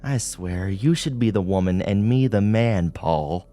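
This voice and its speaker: A man's voice: lowish voice, expressive, unhurried, warm tone. A man voicing a tough, concerned female police officer in an audiobook, speaking firmly and bluntly. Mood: wry. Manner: firm, blunt. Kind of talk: audiobook character dialogue.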